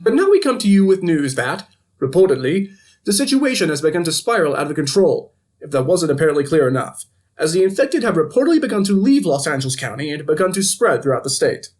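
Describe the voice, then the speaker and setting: A man's voice: fairly high pitch, big pitch swings, quick pace, darkish tone. A man playing a flippant TV news anchor in an audio drama, reading reports with wry, editorializing asides. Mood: alarmed, sardonic. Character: flippant male TV evening news anchor covering a zombie outbreak.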